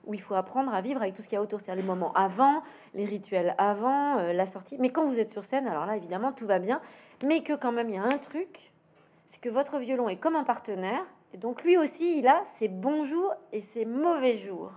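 A woman's voice: fairly high pitch, animated, brisk, darkish tone, a little hiss or hum. A woman conducting a conversational interview, putting questions and rephrasing what her guest has said.

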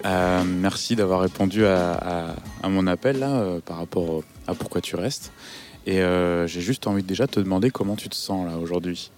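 A man with a fairly low voice hosts a relaxed interview outdoors in a park. He asks his questions haltingly, with fillers and restarts. Music plays clearly beneath him. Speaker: podcast host.

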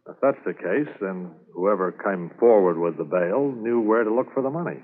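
Junior policeman in old radio drama, plain talker, low-ish, very thin noisy sound. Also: expressive, normal speed. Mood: thoughtful. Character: alert, plain-spoken junior male police officer. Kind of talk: 1950s radio drama acting. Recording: noisy, tinny 1950s radio recording.

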